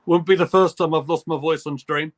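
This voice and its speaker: A young man giving live play-by-play commentary on a football match for fans, in brisk, clipped calls. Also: a little high, steady tone. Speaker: fan commentator.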